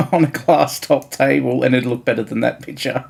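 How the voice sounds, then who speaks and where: A man's voice: medium pitch, normal speed, gravelly, a little mellow. A man hosting a livestream with casual, mocking, jokey banter. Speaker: livestream host.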